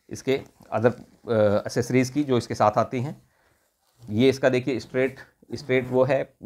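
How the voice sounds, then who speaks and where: Indian man explaining a product, medium pitch. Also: darkish tone. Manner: explanatory, often rephrasing in Hindi and English. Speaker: product reviewer. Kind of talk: unscripted product unboxing and demonstration.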